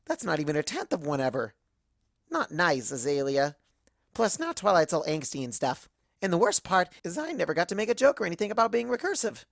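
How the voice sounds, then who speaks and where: A woman's voice: sits low, creaky, no room sound, clean studio sound, full character voice. A woman voicing a hyper, cheerful, scatterbrained cartoon pony who rambles gossipy first-person fan fiction narration. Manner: bubbly, rambling, gossipy, exclamatory.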